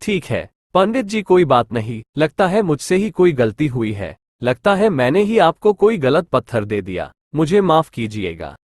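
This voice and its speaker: Cunning cartoon merchant male actor, sly and greedy, lively melodic delivery, close dry sound. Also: a little high, normal speed, hardly any pauses, dry recording. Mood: apologetic. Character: greedy, cunning village merchant swindler, a man. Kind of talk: Hindi cartoon story dialogue.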